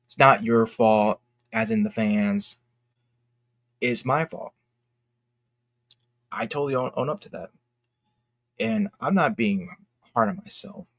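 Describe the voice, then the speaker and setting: A young man talking casually and candidly to his fans in a halting, reflective, earnest way, as an independent creator. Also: fairly low pitch, fairly bright tone, no room sound, studio quality. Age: young adult. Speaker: indie comic creator and vlogger.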